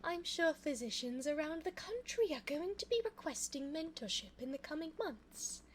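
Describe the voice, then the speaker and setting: A woman playing an elegant, cunning vampire in an ASMR audio roleplay, speaking formally and coaxingly in a soft voice close to the microphone. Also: high voice, big pitch swings, a little hiss or hum. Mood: courteous, flattering. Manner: formal, genteel, slow, coaxing. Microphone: close binaural microphone.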